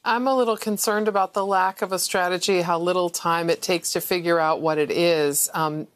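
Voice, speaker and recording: A woman's voice: on the low side, fairly even intonation, normal speed, no echo. An American woman speaking as a reporter guest, giving news analysis in an interview. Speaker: newspaper reporter, panel guest.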